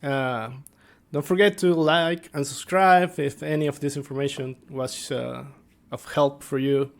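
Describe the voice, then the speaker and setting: Slow, halting man with a creaky voice. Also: on the high side, steady tone. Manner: halting, searching for words. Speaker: tabletop game master and video host. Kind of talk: casual unscripted explainer vlog.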